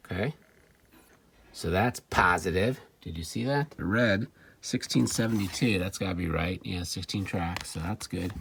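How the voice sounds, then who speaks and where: A man giving a casual, off-the-cuff gadget demo, wry and excitable, talking to himself as he tinkers. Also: low voice, gravelly, slight background noise. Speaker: vintage electronics seller demonstrating a device. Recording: music playing behind the voice. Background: music from the player being tested.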